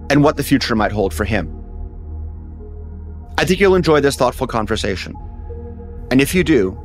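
A man hosts a podcast in a mid-pitched, even voice. He reads a polished intro and then asks his guest courteous questions. Faint music plays in the background. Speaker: podcast host.

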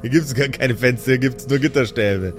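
A man's voice: medium pitch, normal speed, faint music behind, lightly acted. A man voicing a shady, chummy cleric in an improvised audio game, slangy and repeating himself. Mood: cool, menacing. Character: shady, chummy male cleric playing it cool.

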